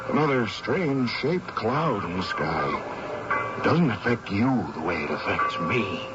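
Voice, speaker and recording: A man's voice: on the low side, very animated, measured pace, loud music behind the voice. An actor playing a haunted, weakened man, anxious and broken, with a hesitant, faltering delivery in a radio drama. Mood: desperate. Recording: noisy, muffled old radio recording.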